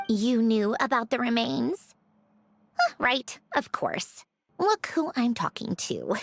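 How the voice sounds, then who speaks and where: A woman's voice: on the high side, sing-song, slow pace, creaky, background noise, heavily acted. A woman playing a proud, prickly mechanical puppet who explains things precisely and haughtily in a video game cutscene. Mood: lecturing. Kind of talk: scripted game cutscene acting. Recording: music behind the voice. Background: game soundtrack music.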